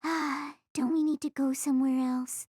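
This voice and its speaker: A woman's voice: high voice, fairly even intonation, moderate pace, no room sound, studio quality, close to an everyday voice. A woman voicing a cheerful, eager young female reporter in an audio drama. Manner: bright, eager. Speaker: voice actor. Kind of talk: fan audio drama acting.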